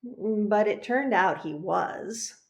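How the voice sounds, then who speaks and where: A woman narrating a children's picture book, expressive and deliberate, pausing for emphasis. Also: medium pitch, muffled recording. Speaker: reverend reading a picture book.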